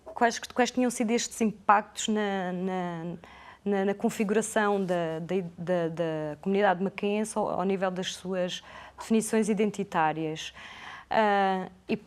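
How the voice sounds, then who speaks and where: A woman's voice: mid-range voice, measured pace. A woman, guest on a television interview. She answers at length in a careful, hesitant manner, often restarting and repeating words as she builds her thoughts.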